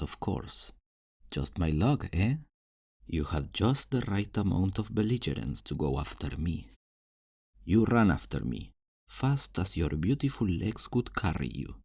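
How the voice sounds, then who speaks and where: Man playing a deep-voiced, unhurried, flirtatious rogue thief. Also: creaky, a little mellow, dry recording, clean studio sound, heavily acted. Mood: sly, admiring. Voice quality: low voice. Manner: teasing, theatrical, smugly self-assured, uses endearments. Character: cocky, flirtatious male thief in a fantasy town. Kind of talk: fantasy ASMR roleplay, addressing the listener.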